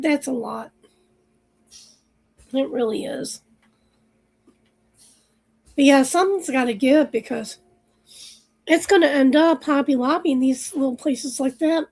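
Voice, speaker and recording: A woman hosting a livestream, chatting casually and rambling with viewers while her voice is going hoarse. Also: high-pitched, measured pace, long pauses, darkish tone, rough recording. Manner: chatty, rambling, lots of fillers. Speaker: livestream host. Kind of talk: casual chat with live chat viewers.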